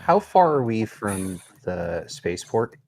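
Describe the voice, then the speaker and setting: A man's voice: lowish voice, big pitch swings, takes it slowly, darkish tone. A man with a dry, sardonic manner, bantering in character as a player in a tabletop game.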